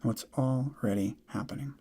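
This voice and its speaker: A man guiding a meditation in soft, breathy, measured short phrases with long pauses, often trailing into a near whisper. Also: medium pitch. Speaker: meditation guide. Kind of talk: guided meditation, slow instruction.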